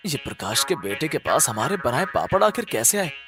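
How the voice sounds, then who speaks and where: A man's voice: mid-range voice, big pitch swings, normal speed, music in the background, close to an everyday voice. A man voicing a humble, earnest poor villager in a cartoon story, respectful and easily distressed. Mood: puzzled. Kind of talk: Hindi cartoon story acting.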